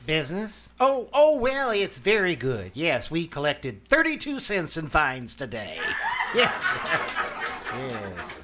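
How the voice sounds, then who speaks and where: A man's voice: fairly high pitch, huge pitch swings, slow pace, a bit bright, full character voice. Awkward, courteous male librarian played by a male actor, swooping intonation, old-time radio comedy, noisy live stage recording. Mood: nervous, courteous. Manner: hesitant, formal, repeats himself. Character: awkward, polite bachelor male librarian, small-town dinner guest. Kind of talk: 1940s radio comedy acting. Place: live community theater stage.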